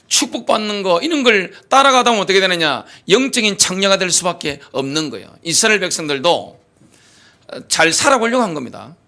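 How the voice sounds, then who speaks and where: A man's voice: high-pitched, pushed and bright. A male pastor preaching a sermon in a church, asking rhetorical questions and stressing his points emphatically. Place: church sanctuary.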